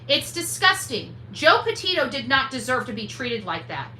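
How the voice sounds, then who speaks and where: A woman's voice: high-pitched, big pitch swings, moderate pace, bright, forceful tone. A woman hosting a livestream rant in an indignant, combative, emphatic way, repeating herself for force. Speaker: livestream host. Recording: roomy echo, faint background noise.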